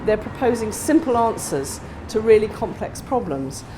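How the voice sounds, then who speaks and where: A middle-aged woman answering interview questions in a measured, analytical way, in a small campaign office. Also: on the high side, very animated, background noise. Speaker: local interviewee.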